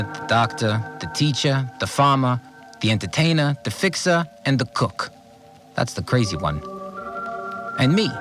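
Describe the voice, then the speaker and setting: Man acting as irritable male cleaner, fastidious, blunt complaints, very animated pitch, audio drama diary, music underneath. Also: lightly acted.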